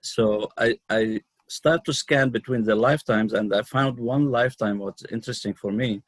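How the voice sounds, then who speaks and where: A middle-aged man from Lebanon with a Lebanese accent, telling his own story as an interview guest, unscripted and halting, often pausing mid-sentence. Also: mid-range voice, no room sound. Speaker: guest, numerologist and healer.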